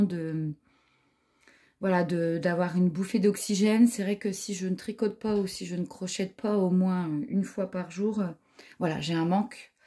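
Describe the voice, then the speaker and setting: A woman's voice: lowish voice, mellow. A woman in her forties chatting casually about yarn she found, hesitant with many fillers and restarts. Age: 40s. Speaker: knitting vlogger. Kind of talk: casual product review chat.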